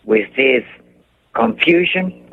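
An older man with a Cuban accent, calling in to a radio show and talking haltingly, repeating and searching for words. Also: on the high side, very animated, creaky, slight background noise. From Cuba. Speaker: caller. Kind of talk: call-in radio chat. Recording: tinny phone line.

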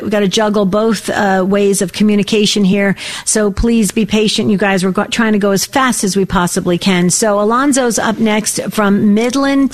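A woman hosting a call-in prayer radio show, encouraging callers in an earnest way, with frequent restarts. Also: mid-range voice. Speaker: radio host.

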